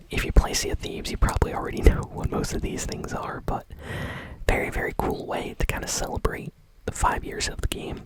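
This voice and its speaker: A woman hosting ASMR gaming news in a soft whisper, speaking casually and hesitantly, as if unscripted. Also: low-quality recording.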